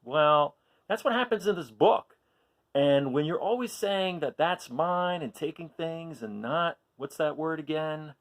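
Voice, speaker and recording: A man reading aloud to young children, expressive, with chatty asides and questions put to his listeners. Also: fairly high pitch, measured pace. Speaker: children's storytime reader.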